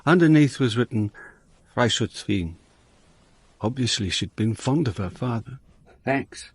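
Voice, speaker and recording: A man's voice: medium pitch, expressive, a little slow, background noise, close to an everyday voice. An actor playing a wry, world-weary police inspector who narrates his own story in a radio drama. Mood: reflective. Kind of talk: radio drama acting with first-person narration.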